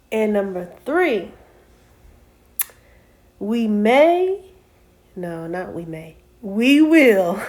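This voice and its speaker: A woman in her late twenties talking casually to her viewers in a personal vlog, soft-spoken, with frequent ums and self-corrections. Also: medium pitch. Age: 20s. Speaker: vlog host. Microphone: camera mic, sitting and reading from notes.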